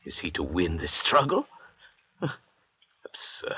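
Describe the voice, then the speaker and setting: An actor playing an educated, bitter and pompous doctor among escaped convicts in an old-time radio drama, lecturing in a measured way. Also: male voice, sits low, huge pitch swings, pushed and bright, husky, exaggerated voice. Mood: brooding, contemptuous. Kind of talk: 1940s-50s radio drama acting. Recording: dull, hazy old radio recording.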